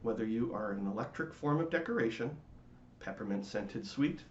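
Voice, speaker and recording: A man giving a dramatic, theatrical reading of a children's storybook in measured phrases. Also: fairly low pitch, expressive, reverberant, lo-fi sound, over a noisy crowd.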